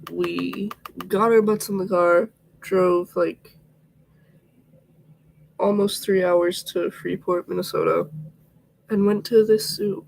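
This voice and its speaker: A man's voice: high-pitched, creaky, darkish tone, a little hiss or hum, rough recording. A sick, congested young man chatting casually while getting ready on a home livestream, rambling and often slipping into a whisper. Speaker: vlogger.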